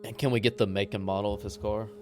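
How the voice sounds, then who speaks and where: A man's voice: lowish voice, level tone, fast, over music. A man playing a tabletop game, chatting casually and sometimes groping for his words.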